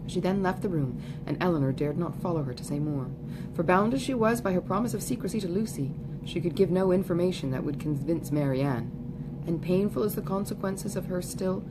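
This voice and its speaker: A woman reading a classic novel aloud in a measured, articulate way, as in an amateur audiobook. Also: lowish voice, expressive, background noise. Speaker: audiobook reader. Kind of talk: literary narration of a classic novel.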